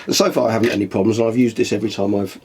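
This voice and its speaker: A man's voice: lowish voice, fairly even intonation, very fast, a little mellow, a little hiss or hum. A middle-aged man, a musician talking casually to camera with a chatty, dry self-deprecating humour. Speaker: musician vlogger.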